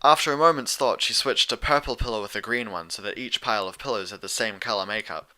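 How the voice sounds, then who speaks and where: A man's voice: on the low side, quick pace, sharp tone. A man reading scripted story narration as an audiobook narrator of fan fiction.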